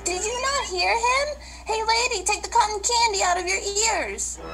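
A perky cookie schoolgirl acted by a woman speaks very high. She is friendly and outspoken, a classmate in a children's radio play. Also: animated, normal speed, sharp tone, soft background music, exaggerated voice, low-quality recording. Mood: indignant.

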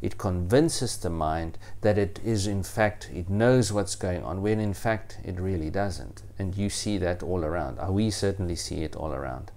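This is a middle-aged man presenting to camera. His voice sits fairly low. He explains things in deliberate, halting phrases. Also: a little mellow. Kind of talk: talk to camera, explanatory monologue. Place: indoors, small room with bookshelves.

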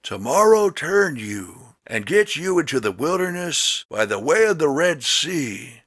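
A man reading scripture as a narrator in a solemn, dramatic style, with measured, emphatic, declamatory delivery. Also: fairly high pitch, big pitch swings, a bit bright, slightly rough, no room sound. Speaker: Bible narrator.

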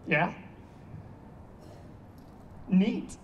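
A man's voice: extremely slow, muffled recording. A man playing an awkward, defensive older character in a staged reading, hesitant and wry as he backpedals. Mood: sheepish, wry. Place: outdoor stage against a block wall. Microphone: handheld stage microphone, heard from afar. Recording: distant handheld mic, noticeable background noise.

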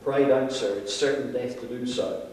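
A man's voice: mid-range voice, moderate pace, a little mellow, a little hiss or hum, close to an everyday voice. A man reading the part of a loyal, cautious colonial bush guide, earnest, deferential and pleading. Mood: urgent warning. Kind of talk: old-fashioned dramatic reading aloud. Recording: very echoey hall, far from mic.

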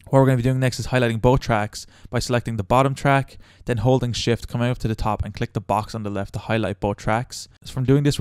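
A man's voice: mid-range voice, darkish tone, smooth, no echo, studio quality. A young man hosting a casual step-by-step tutorial as a voice-over. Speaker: tutorial host.

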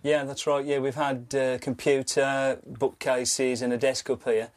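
A man's voice: medium pitch, level tone, measured pace. A middle-aged man, a teacher, answering plainly and a little hesitantly in a cramped home office. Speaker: teacher moving house.

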